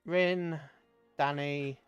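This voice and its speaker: A man's voice: fairly high pitch, fairly even intonation, drawn-out words, clear, resonant tone, dry recording, lo-fi sound, faint music behind. A British man casually commentating a strategy game on a livestream, chatting with viewers and muttering rambling asides to himself as he plays.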